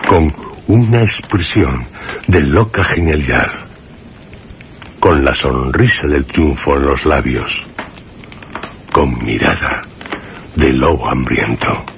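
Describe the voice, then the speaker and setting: Very deep, slow, wary narrator. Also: male voice, gravelly, noisy background, exaggerated voice. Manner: measured, solemn, halting phrases. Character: wary man narrating his dreadful encounter with a mad friend. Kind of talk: scripted suspense radio drama narration.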